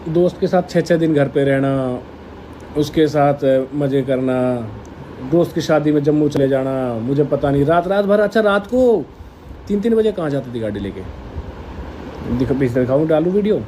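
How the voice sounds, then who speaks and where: Mellow-voiced man, slightly high. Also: expressive, moderate pace, a little hiss or hum, low-quality recording. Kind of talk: casual personal explanation to camera.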